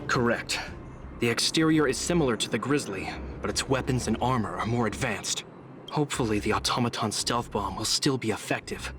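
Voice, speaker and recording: An actor playing a disciplined, courteous guard captain in a video game, speaking seriously and in good order. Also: male voice, medium pitch, a little fast, noisy background, natural voice. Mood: earnest. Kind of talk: scripted video game dialogue.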